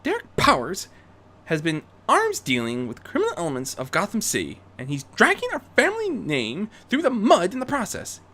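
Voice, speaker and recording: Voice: medium pitch, wildly swooping pitch, moderate pace, bright, forceful tone, pitched above the performer's own voice, exaggerated voice. A performer voicing a young female unicorn vigilante, refined and determined, speaking in an earnest, theatrical, articulate way for a fan fiction reading.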